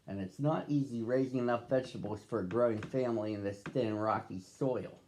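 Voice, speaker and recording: Man as storybook mother bear, gentle, practical, comforting, mellow voice. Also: medium pitch, a little fast, a little hiss or hum, natural voice, rough recording.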